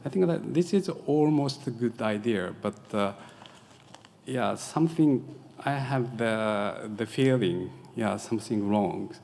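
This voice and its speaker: A middle-aged man with a Japanese accent gives a conference presentation, haltingly searching for words in English as a non-native speaker. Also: mid-range voice, expressive, gravelly, a little mellow. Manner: halting, searching for words, frequent filler "yeah". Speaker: professor presenting design research. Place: lecture hall at a podium. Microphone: podium microphone. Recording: echoey hall, audible background noise.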